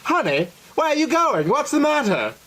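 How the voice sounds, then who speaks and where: A man's voice: very high-pitched, very animated, normal speed, a bit bright, pitched above the performer's own voice, exaggerated voice. A man voicing a gangster's fussing female companion, pleading and scolding in a horror comic dramatization. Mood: concerned.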